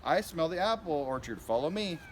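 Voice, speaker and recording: A man's voice: fairly high pitch, expressive, moderate pace, slight background noise, close to an everyday voice. A man voicing eager, curious little puppies from a storybook, with childlike questions and exclamations. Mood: determined.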